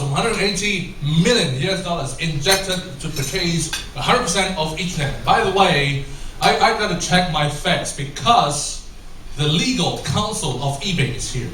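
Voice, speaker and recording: A man's voice: high voice, animated, a little slow, bright, forceful tone. Singapore guy w/ mixed english-american accent, chatty trainer on stage, big echoey hall, noisy, mic far off. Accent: mix of English and American. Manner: chatty, repeats and restarts, quizzes the audience. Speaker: corporate trainer giving a talk. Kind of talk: conference talk with audience questions. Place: large echoey hall with an audience.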